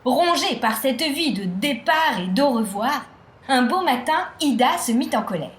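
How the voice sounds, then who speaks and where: Female storyteller for children's tale: fairly high voice, very unhurried, theatrical phrasing. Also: expressive. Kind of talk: scripted children's tale narration. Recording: roomy echo, music behind.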